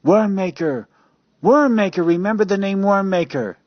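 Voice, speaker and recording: A man's voice: high voice, expressive, normal speed, no room sound, highs cut off, voice pushed up. A man playing a nervous, obsessed amateur detective who pleads and stammers in a radio drama. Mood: desperate. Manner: stammering, urgent.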